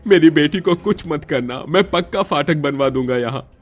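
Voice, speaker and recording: A man's voice: on the high side, moderate pace, lightly acted. A man voicing a remorseful railway official in a cartoon story, begging and apologizing. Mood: pleading. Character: remorseful middle-aged male railway official.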